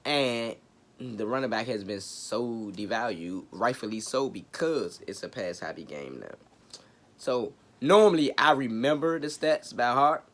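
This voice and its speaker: A man hosting his own sports talk show, raspy from a cold, chatting casually with slang and jokes. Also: medium pitch, a little slow. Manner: laid-back, joking, chatty with slang. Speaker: sports podcast host.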